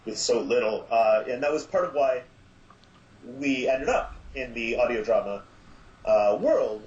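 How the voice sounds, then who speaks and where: A man speaking as an interview guest in casual chat, enthusiastic but halting and reflective. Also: medium pitch, darkish tone, reverberant, lo-fi sound. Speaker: podcast guest, audio drama maker.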